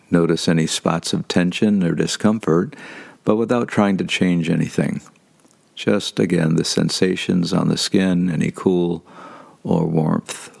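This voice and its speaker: Slow, gravelly-voiced male meditation guide. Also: low-pitched. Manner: calm, gentle instructions.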